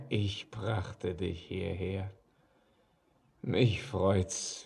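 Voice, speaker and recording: An actor playing an ancient, weary, ghostly old miner in a poetic radio drama, gravelly and husky, speaking in a halting declamation. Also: male voice, low voice, darkish tone, full character voice. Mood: grim, mocking. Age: older.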